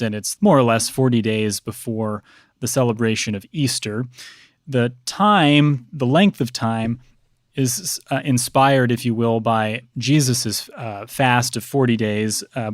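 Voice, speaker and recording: A man speaking as a priest and podcast guest, explaining religious customs conversationally in measured, scholarly, precise wording. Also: mid-range voice, no echo, clean studio sound.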